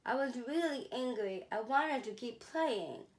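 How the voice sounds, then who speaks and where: A woman's voice: fairly high pitch, animated, unhurried, echoey room, voice pushed up. A woman voicing an earnest, curious young boy in a children's storybook, with simple questioning phrasing. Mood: apologetic. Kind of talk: storybook character voice in a read-aloud.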